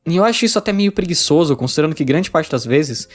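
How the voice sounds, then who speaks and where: A man's voice: fairly high pitch, animated, quick pace. A Brazilian man narrating a scripted review as a video essayist, informal and opinionated. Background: background music.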